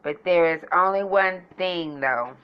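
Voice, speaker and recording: Woman acting low-voiced, defiant vigilante heroine. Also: measured pace, clear, resonant tone, lo-fi sound, close to an everyday voice. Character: defiant, guarded female vigilante hero with a past. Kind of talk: amateur superhero film acting.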